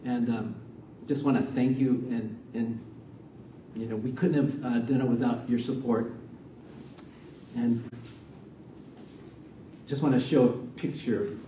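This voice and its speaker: A middle-aged man, a missionary, giving a sermon and prayer in a church, soft-spoken and halting with many fillers. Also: mid-range voice, fairly even intonation, muffled recording, slight background noise. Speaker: missionary preacher. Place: church sanctuary, medium-sized. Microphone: stand mic from a distance. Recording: roomy church sound, mic far off.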